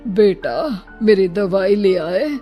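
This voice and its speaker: A mild elderly father from a cartoon , voiced by a woman. He sounds low and gently fussing, over faint music. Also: slow pace, dull audio, natural voice. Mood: gently reminding.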